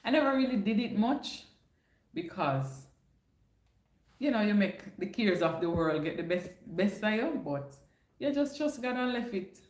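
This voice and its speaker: A chatty Jamaican woman answers her viewers' questions in a relaxed livestream, slipping easily into patois turns of phrase. Her voice sits at an ordinary middle pitch for a woman. The room around her is echoey. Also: unhurried. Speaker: livestream host answering chat. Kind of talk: casual livestream Q&A. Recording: roomy echo.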